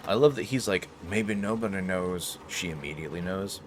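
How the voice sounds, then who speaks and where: A man's voice: deep voice, moderate pace. A man giving casual, playful gameplay commentary as a host, with jokey asides to game characters. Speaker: gaming host playing through a game. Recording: clearly noisy recording with background ambience. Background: game ambience and action sounds.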